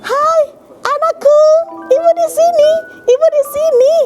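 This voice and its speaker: A woman voicing a gentle, encouraging mother rabbit, soothing and drawing out her words in a children's story. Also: very high-pitched, steady tone, unhurried, over loud music, voice pushed up. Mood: calling fondly.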